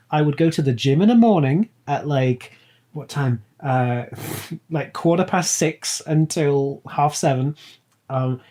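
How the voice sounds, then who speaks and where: A podcast guest in their late twenties talks in a casual, informal style. The voice has a middling pitch. The delivery is blunt and conversational. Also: mellow. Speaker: podcast guest, audio drama writer and producer. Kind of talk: casual chat.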